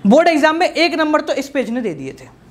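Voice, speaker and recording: A man in his thirties teaching an exam-prep economics class in a studio, playful and energetic, drilling key terms by repeating them. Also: very high voice, fairly quick. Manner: lively and playful, repeats key terms, gestures while talking. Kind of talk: energetic exam-prep lecture, Hindi mixed with English. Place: studio in front of a projected slide screen.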